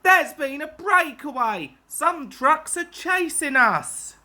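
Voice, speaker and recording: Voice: high-pitched, sing-song, slow pace, bright, forceful tone, voice pushed up, exaggerated voice. A woman doing an urgent engine driver. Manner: urgent, grim.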